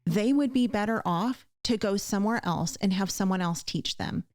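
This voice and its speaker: A woman's voice: medium pitch, normal speed, a little mellow, no room sound, studio quality. A woman hosting a conversational show, speaking in an earnest, emphatic way as she encourages her listeners directly. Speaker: podcast host.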